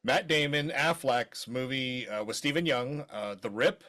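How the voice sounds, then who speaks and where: A man's voice: mid-range voice, unhurried, sharp tone. A middle-aged man from Maryland co-hosting a podcast, chatting casually with jokey, rambling asides. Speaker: podcast co-host. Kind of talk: casual chat.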